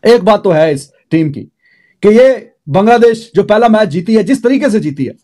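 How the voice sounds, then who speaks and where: High-voiced lamenting cricket man. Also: expressive, normal speed, dark tone. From Pakistan. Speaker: cricket commentator.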